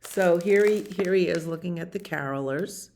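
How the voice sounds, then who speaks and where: A woman in her sixties reading aloud at storytime in an expressive way, with chatty asides about the pictures and questions for her listeners. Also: on the low side, normal speed, gravelly, slight background noise. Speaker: library storyteller reading picture books to children. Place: library activity room, indoors.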